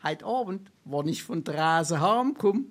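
Cheeky high-voiced Hessian sponger. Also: male voice, animated, a little slow, loud music behind the voice. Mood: wheedling, flirting. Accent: Hessian, Darmstadt dialect. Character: cheeky smooth-talking penniless sponger, flattering bon vivant. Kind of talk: dialect stage comedy acting.

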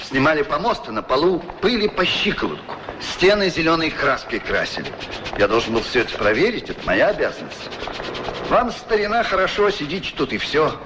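A man's voice: fairly high pitch, very animated, a little fast. An actor playing a harried, fussy male office official with a fretful, complaining manner in a radio play. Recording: noisy old radio play recording.

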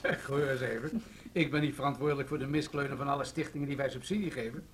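A man's voice: mid-range voice, fairly even intonation, fast, recorded from a distance, close to an everyday voice. Actor as city alderman, male, Netherlands Dutch accent, self-assured, blunt, colloquial, old radio play, slightly noisy recording. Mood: defensive, blunt. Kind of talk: 1970s Dutch radio drama acting.

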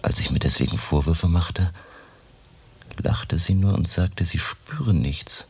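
Older professor, very low voice, husky, courtly, deliberate, earnest, radio drama. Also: male voice, darkish tone, exaggerated voice. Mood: measured, absorbed. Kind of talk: German radio play acting.

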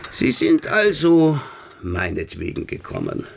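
A man's voice: fairly high pitch, wildly swooping pitch, unhurried, creaky, slight background noise, full character voice. An actor in a radio play, a man playing a wary, defiant car scrapper who is guarded, terse and feigns ignorance.

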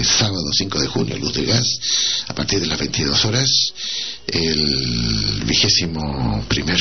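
Very deep gravelly male radio host. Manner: rambling, repeats words while searching, animated. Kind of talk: casual radio interview. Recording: dull lo-fi radio broadcast.

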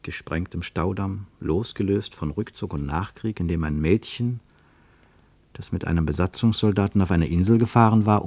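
A male narrator reads a literary travel story in a measured, storytelling way. His voice sits fairly low and sounds dry and close to the mic. It suits a vintage studio radio play. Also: a little mellow, no room sound. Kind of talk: scripted narration in a radio drama.

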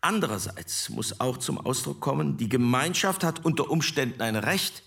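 A man's voice: medium pitch, animated, creaky, a bit bright. Earnest male jurist, slightly distant. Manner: measured, formal, insistent. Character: earnest, reasoning jurist in a constitutional debate. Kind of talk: re-enacted committee debate.

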